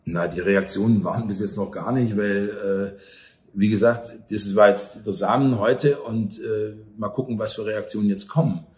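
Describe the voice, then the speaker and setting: Lowish-voiced man answering in an echoey room. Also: moderate pace, a little mellow. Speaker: actor and theatre director being interviewed. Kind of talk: conversational interview answers. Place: small cafe or bar table at night.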